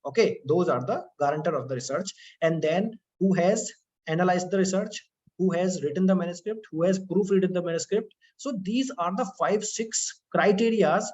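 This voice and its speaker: A man's voice: high-pitched, normal speed, a little mellow, muffled recording. A man with an Indian English accent giving an online lecture to students, talking in a conversational way with frequent "okay" and "you know" fillers.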